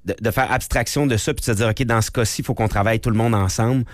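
A man's voice: mid-range voice, fairly even intonation, fast, no echo, studio quality. A man co-hosting an interview, commenting in long rambling sentences. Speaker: radio show co-host. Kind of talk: interview conversation.

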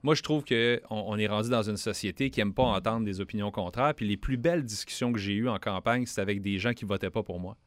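A man with a Quebec French accent speaks in a low register and answers interview questions earnestly. He often stumbles and repeats words at the start of sentences. The sound is dry and close, with no room echo. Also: a little fast, few pauses. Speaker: federal member of parliament, guest. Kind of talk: radio interview, casual political talk.

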